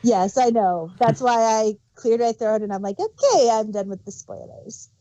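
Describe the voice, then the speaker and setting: A woman co-hosting a casual review podcast, speaking in a halting way and often restarting her sentences. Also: a little high, animated, dark tone, no echo. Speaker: podcast co-host reviewing audio dramas. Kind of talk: casual unscripted chat. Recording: dull, dry podcast microphone.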